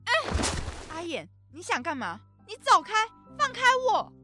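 Bitter, rejecting mother in a radio play, played by a woman; very high, sharp, swooping voice. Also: wildly swooping pitch, normal speed, faint music behind, exaggerated voice. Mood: panicked. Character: bitter, rejecting mother blaming her child. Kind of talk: amateur radio drama acting.

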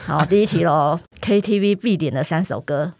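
A woman's voice: lowish voice, normal speed, creaky, a little mellow, dry recording. A woman with a Taiwanese Mandarin accent hosting a chatty podcast interview. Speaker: podcast host.

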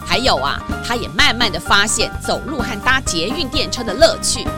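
A woman narrator with a Taiwanese accent tells a children's story over clear background music. Her voice is high and moves up and down a great deal as she addresses young listeners. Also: big pitch swings, moderate pace. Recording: music under the voice.

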